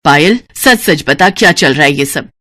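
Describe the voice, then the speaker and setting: A woman's voice: deep voice, animated, normal speed, a bit bright, a little hiss or hum, voice pushed down. A woman voicing a thrifty, earnest middle-aged mother who scolds in a story cartoon. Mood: stern. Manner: admonishing, earnest. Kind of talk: Hindi moral story cartoon acting.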